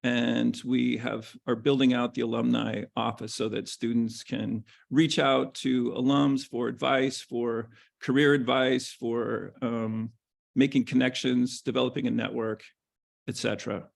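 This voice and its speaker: University president guy on a video call, super gravelly, lots of ums, easygoing. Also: mid-range voice. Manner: relaxed, halting with many ums. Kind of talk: welcome speech to admitted students.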